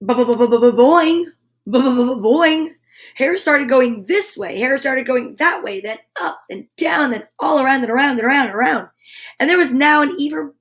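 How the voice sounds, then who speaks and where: A woman hosting children's storytime, reading a picture book aloud with playful, drawn-out sound effects and repeated words. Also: sits high.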